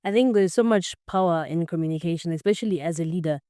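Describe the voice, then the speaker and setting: A woman's voice: lowish voice, fast, warm tone, clear, resonant tone, no echo, studio quality. Earnest young woman, podcast guest. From Zambia. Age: 20s. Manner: earnest, explanatory, frequent "um" and "basically". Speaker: podcast guest, computer science student. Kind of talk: casual interview answers.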